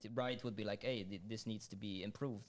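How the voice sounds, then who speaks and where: A man's voice: fairly low pitch, fairly even intonation, fairly quick. A young man hosting a casual gaming podcast, hesitant and full of fillers and restarts. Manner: hesitant, stammering, lots of fillers. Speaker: podcast host.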